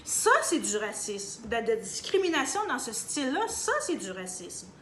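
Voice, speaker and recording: A woman's voice: a little high, sing-song, normal speed, fairly bright tone. A woman with a Canadian French accent giving an emphatic opinion monologue straight to her viewers, hesitating often with fillers. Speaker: video commentator. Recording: echoey room, faint background hum.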